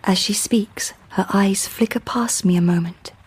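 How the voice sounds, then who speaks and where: An actress playing a devoted, determined young Victorian wife with a British accent, formal and insistent, in period radio drama style. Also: female voice, mid-range voice, a little slow, darkish tone, breathy edge. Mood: hushed, brooding. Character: devoted, determined young Victorian wife who narrates her own story. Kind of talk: period radio drama acting with hushed first-person narration.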